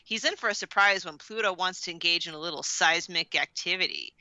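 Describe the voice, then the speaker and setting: A woman's voice: lowish voice, fairly quick, pushed and bright, no echo, muffled recording. A woman co-hosting a podcast, bantering casually and reading aloud for comedy with an incredulous, exclamatory manner. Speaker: podcast co-host.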